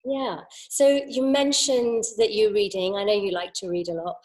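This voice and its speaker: A woman's voice: medium pitch, steady tone, normal speed, a little mellow, poor audio. A woman hosting an online interview, opening with a scripted introduction and asking friendly questions. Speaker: interview host.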